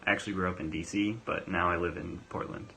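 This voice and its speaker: Low, crisp-toned young man. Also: steady tone, fast, sharp tone, a little hiss or hum. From Oregon, US. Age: young adult. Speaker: interviewee, recent graduate.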